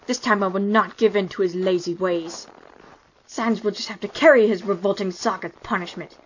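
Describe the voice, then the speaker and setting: A woman's voice: medium pitch, fairly even intonation, moderate pace, lightly acted. A woman voicing a pompous, fussy male skeleton with grandiose, formal speech in a fan comic dub. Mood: exasperated. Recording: muffled lo-fi with faint noise.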